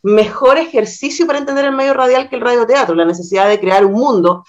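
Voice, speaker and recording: A woman's voice: a little high, quick pace, dull audio. Chilean woman hosting a thoughtful radio interview. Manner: reflective, with frequent tag questions. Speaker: radio host interviewing a guest.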